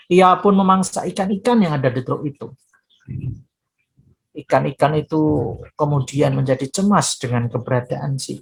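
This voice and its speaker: A woman storyteller narrating a children's folktale expressively, with deliberate, drawn-out dramatic phrasing. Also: low-pitched, breathy edge, rough recording.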